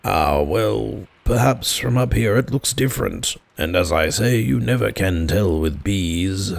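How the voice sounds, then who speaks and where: A man voicing an earnest, dim-witted toy bear in a slow, ponderous storybook manner. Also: fairly low pitch, expressive, creaky, no echo. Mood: anxious, hopeful.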